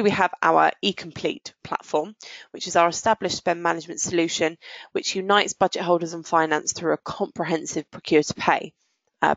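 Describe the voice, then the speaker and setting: Low, creaky woman presenting a product demo. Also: dry recording. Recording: dull, muffled, lo-fi audio.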